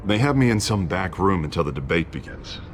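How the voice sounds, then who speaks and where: Low-voiced, anxious, chummy politician. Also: male voice, sing-song, fairly quick. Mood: uneasy. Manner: hesitant, chummy, persuasive. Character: male politician, anxious, ingratiating, self-interested campaign candidate. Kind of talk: video game voice acting.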